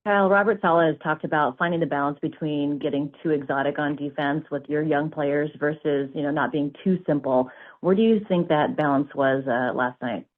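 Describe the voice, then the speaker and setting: A woman's voice: low-pitched, fairly quick. A woman working as a sports reporter, putting a question to a coach on a phone conference call. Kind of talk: press questions. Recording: tinny, band-limited phone line.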